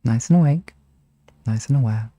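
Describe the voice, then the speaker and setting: A man chatting casually and softly with his listeners as an ASMR streamer, relaxed and unhurried. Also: medium pitch, no room sound, clean studio sound. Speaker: ASMR hypnosis live streamer.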